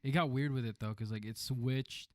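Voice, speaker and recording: A man's voice: medium pitch, moderate pace, no room sound. A man with an ordinary mid-range voice speaks casually on a podcast, confident in his opinions but filling gaps with "like" and "uh". The sound is close and dry. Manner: relaxed and opinionated, hedging with "like" and "uh". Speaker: podcast co-host. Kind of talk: casual chat, movie review.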